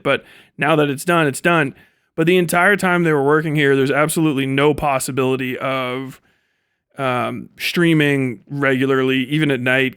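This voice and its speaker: A man's voice: on the high side, fairly bright tone, smooth, dry recording. A man hosting a casual livestream, chatting and ranting bluntly with frequent heavy swearing, close on the mic. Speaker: livestream host and content creator. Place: indoor home streaming setup. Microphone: close studio microphone.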